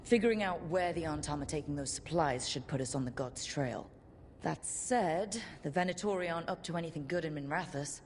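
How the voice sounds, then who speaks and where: Low-voiced woman acting a resolute fantasy leader. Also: a little fast, darkish tone, a little hiss or hum, close to an everyday voice. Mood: determined. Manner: earnest, rallying, plain-spoken. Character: resolute young woman leading a band of heroes. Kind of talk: dramatic fantasy game voice acting.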